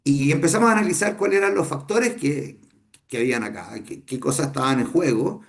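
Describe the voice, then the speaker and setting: A middle-aged Chilean businessman teaching a class, speaking Spanish with a Chilean accent and often pausing with fillers and restarts. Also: on the high side, lively intonation, vocal fry. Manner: unhurried, thinking aloud with many fillers and restarts. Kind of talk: lecture to students.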